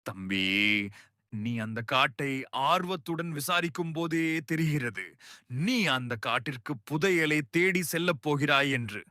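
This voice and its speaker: A man's voice: fairly high pitch, animated, a little slow, sharp tone, dry recording, studio quality. A man voicing a knowing, approving village elder in a cartoon moral story, explaining formally. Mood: approving, informative.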